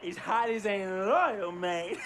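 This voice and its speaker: A cocky young man talks in slang like a joking troublemaker in a video game. His voice sits fairly high and unhurried, with clear ambient noise behind it. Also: expressive. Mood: cocky, amused. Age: young adult. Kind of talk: video game cutscene acting, bragging slangy anecdote. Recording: noticeable background noise, ambient sound.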